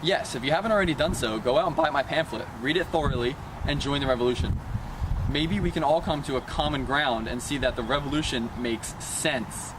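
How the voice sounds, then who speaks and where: A man's voice: mid-range voice, fairly quick, close to an everyday voice. A man playing an earnest, resolute colonial statesman, giving formally worded, scripted interview answers. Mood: earnest, defiant. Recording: noisy amateur outdoor recording, traffic.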